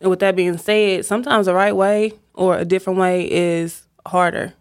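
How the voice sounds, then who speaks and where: A woman's voice: on the low side, steady tone. Reflective woman hosting a casual chat. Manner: reflective, measured, advice-giving.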